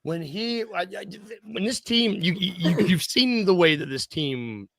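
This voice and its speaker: A man co-hosts a sports talk show in a slightly raised, bright voice. He stumbles over repeated words as he builds his point. The sound is dry and close, with no room echo. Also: sits high, expressive, unhurried. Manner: stammering restarts, enthusiastic agreement. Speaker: podcast co-host.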